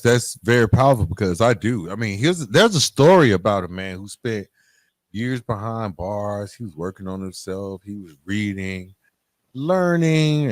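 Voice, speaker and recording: A man's voice: lowish voice, no echo. Man, podcast host, widely swinging intonation, dry close sound, emphatic motivational storytelling.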